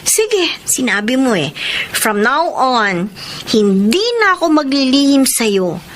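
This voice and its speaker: An actress playing an earnest, guarded young student in a radio drama, with a Filipino accent and sincere, measured delivery. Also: female voice, high-pitched, sing-song, slight background noise, full character voice. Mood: apologetic, honest. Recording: music bed behind the voice.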